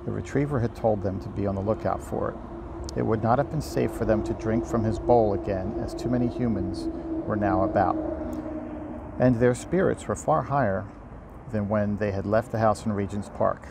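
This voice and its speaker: A man's voice: fairly low pitch, moderate pace, gravelly. A man reading a storybook aloud informally outdoors, in an unpolished way with occasional stumbles. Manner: unpolished, stumbles and rereads lines. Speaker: amateur audiobook reader and host. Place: outdoors by a river. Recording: faint outdoor ambient noise. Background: faint outdoor ambience.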